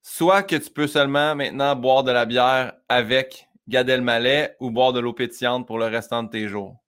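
A podcast host, a man with a Québécois French accent, with a middle-pitched voice. He asks brisk, short questions and laughs easily. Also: steady tone, a bit bright, smooth. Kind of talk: casual podcast interview.

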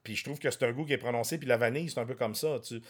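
A man in his forties talks loosely in a Québécois accent, with a voice of middling pitch. He rambles through his points, swears often and trips over his own restarts in a relaxed podcast chat. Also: rapid-fire, slightly rough. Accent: Québécois French. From France. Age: 40s. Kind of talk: casual chat.

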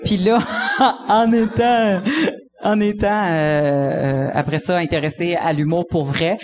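A woman's voice: lowish voice, takes it slowly, crowd noise. A woman with a Quebec French accent, a comedian chatting casually and laughing often, with many restarts. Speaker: comedian guest. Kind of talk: casual podcast chat.

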